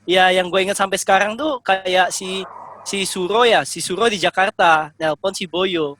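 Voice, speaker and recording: A man's voice: high-pitched, moderate pace, sharp tone, slight background noise. A man chatting casually with friends, asking questions and laughing often. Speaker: podcast co-host. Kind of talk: casual chat among friends.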